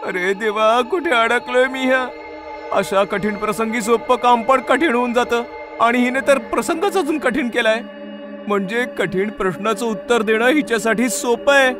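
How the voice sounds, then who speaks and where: Man acting a high-voiced, excitable young traveler. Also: moderate pace, over music. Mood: anxious. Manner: exclaiming, talking to himself. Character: excitable, naive young male traveler, easily frightened. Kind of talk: dramatized horror storytelling.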